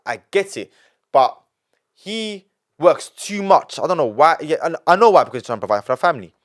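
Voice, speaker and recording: A man's voice: on the high side, expressive, brisk. A nineteen-year-old man with a London accent, a podcast guest talking in a rambling, emphatic way, with lots of restarts and repetition. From London, England. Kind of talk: casual podcast interview.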